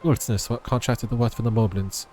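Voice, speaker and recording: A man's voice: mid-range voice, fairly even intonation, fairly quick, warm tone, slight background noise. A man streaming a video game, reading its dialogue aloud and chatting casually, sometimes stumbling over the words. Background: game music.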